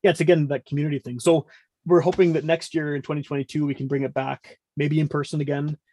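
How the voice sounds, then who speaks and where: Casting: middle-aged man, slightly higher-pitched, chatty podcast guest with a rambling delivery. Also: flat delivery, fast, mellow, no room sound. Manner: talkative, rambling, self-interrupting. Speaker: podcast guest, music podcast host. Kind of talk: casual conversational interview.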